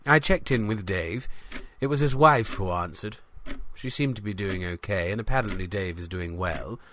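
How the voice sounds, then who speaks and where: A man playing a pompous, bumbling small-town newspaper man who rambles in a deadpan way through a comedic audio diary. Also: fairly low pitch, moderate pace, slight background noise, lightly acted. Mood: self-important, rambling. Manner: rambling, self-important, deadpan. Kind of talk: comedic audio diary monologue in 1970s setting.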